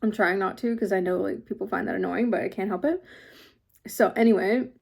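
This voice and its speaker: A woman's voice: mid-range voice, fairly quick, creaky, slightly rough. A sniffly woman with a mild cold talks loosely to camera about her small craft shop. She rambles, filling gaps with likes and ums, in an easygoing vlog chat from her home studio. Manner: rambling, filler-heavy, many likes and ums. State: slight head cold, sniffling. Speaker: small craft business owner.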